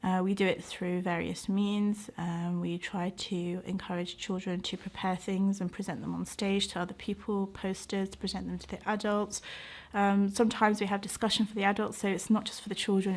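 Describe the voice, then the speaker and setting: A middle-aged British woman speaking reflectively in an interview, earnest and thoughtful, often hesitating as she finds her words. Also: medium pitch. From United Kingdom. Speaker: interviewee, doctor and community organiser.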